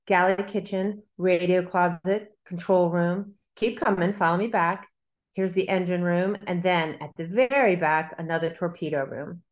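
Woman as bold bossy girl, lowish pitch, measured storybook narration, declarative. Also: close to an everyday voice. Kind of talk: literary novel reading.

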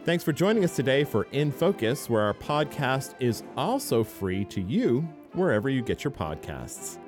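Radio host man, lively announcer delivery over a music bed. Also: medium pitch, normal speed. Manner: announcer-style, polished delivery. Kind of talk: scripted radio outro.

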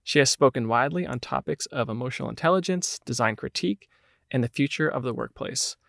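Gravelly-voiced upbeat podcast host. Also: male voice, mid-range voice, fast, a bit bright, no room sound, clean studio sound. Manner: upbeat, reads announcements then chats loosely. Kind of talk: scripted podcast intro then casual interview.

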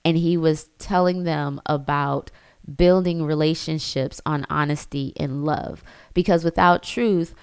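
A woman's voice: low-pitched, fairly even intonation, unhurried, clean tone, no echo. A young woman thinking aloud in a personal reflective monologue, full of fillers and restarts. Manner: thoughtful, frequent fillers and restarts. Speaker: reflective speaker.

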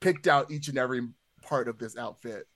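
A man's voice: mid-range voice, a little fast, a bit bright, no room sound. A man in casual podcast conversation, chatty and hesitant, padding his thoughts with lots of filler words. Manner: chatty, hesitant, many "like" hedges. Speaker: podcast panelist.

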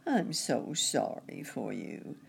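A woman's voice: very deep voice, wildly swooping pitch, slow pace, creaky, breathy edge, full character voice. A woman voicing a caring muskrat lady nurse in a storybook, fussing in an anxious, earnest, sympathetic way. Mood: pitying.

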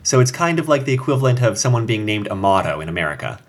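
A man's voice: lowish voice, very animated, quick pace. A man co-hosting a casual podcast chat, explaining fan lore at length with jokes and asides. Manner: explanatory, joking, rambling with asides. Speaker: podcast co-host.

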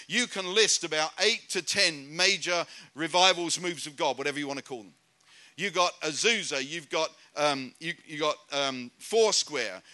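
Bright-voiced British man preaching. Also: sits high. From Britain. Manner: conversational, restarts often, rhetorical repeated questions. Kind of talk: sermon.

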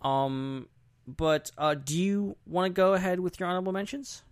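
A man's voice: sits high, moderate pace, smooth, dry recording, studio quality. A man hosting a podcast in casual, informal chat, repeating short phrases for emphasis. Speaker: podcast host.

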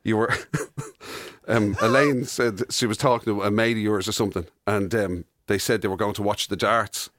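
A man's voice: fairly low pitch, steady tone, normal speed, a bit bright, no echo, studio quality. An Irish man co-hosting a radio show in casual, bantering chat.

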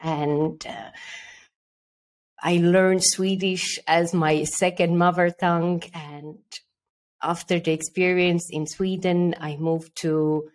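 A middle-aged woman from Estonia with an Estonian accent, answering interview questions as a guest with careful, halting phrasing. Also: lowish voice, flat delivery. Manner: careful, with repeated words and fillers. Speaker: guest, headhunter.

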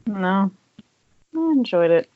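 A woman playing a dry, weary, skeptical wife with a husky voice in an amateur table read over an online call. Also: medium pitch, very animated, slow pace. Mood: deadpan. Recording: dull lo-fi Skype call.

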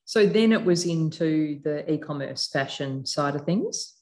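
A woman with a notably low voice leads the conversation as interviewer. She asks probing follow-up questions in a casual, conversational way. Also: animated, a little slow, darkish tone.

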